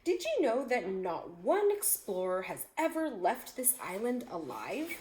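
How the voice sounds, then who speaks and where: Lady doing a hungry smug tiger from a kids book, taunting n fake polite, really animated, roomy sound. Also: a little high, measured pace. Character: hungry, menacing talking tigers, smug and easily fooled. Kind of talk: children's storybook read-aloud. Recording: echoey room with faint noise.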